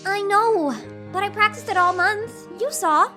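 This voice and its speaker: Excitable, high-pitched little filly princess. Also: female voice, a little slow, full character voice. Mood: impatient, excited. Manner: eager, childlike chatter. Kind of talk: animated cartoon audio drama acting. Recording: music behind the voice. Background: music.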